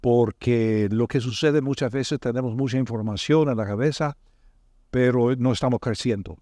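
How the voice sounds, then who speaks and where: A man hosting a podcast, asking his guest questions and explaining the topic in a measured, friendly way. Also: mid-range voice, dark tone, dry recording, clean studio sound. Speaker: podcast host.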